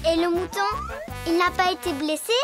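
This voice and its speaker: Very high, childlike girl elephant. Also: steady tone, moderate pace. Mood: curious. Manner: exclamatory, eager, childlike. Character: lively, curious, bossy young girl elephant. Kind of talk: French children's cartoon dub. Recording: music behind the voice.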